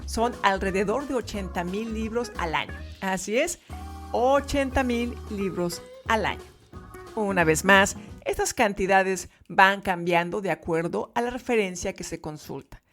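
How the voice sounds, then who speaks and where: A woman teaching Spanish to learners in a podcast lesson, speaking clearly and emphatically. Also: medium pitch, unhurried, a bit bright, faint music behind.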